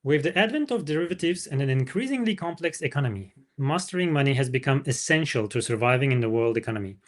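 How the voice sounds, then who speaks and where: Male book reader for a livestream, medium pitch, narration with informal asides. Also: expressive, quick pace. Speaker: livestream host reading a book aloud.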